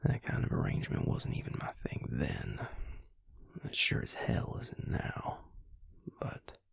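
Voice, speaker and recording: A man playing a tender, regretful male vampire in an intimate audio roleplay, confiding haltingly in a soft, breathy, gravelly whisper. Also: no echo, clean studio sound, natural voice. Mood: rueful, candid.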